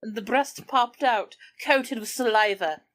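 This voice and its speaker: A woman's voice: a little high, slow, fairly bright tone. A woman in an improvised comedy chat, reading passages aloud between bits of banter. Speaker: book club podcast performer reading aloud.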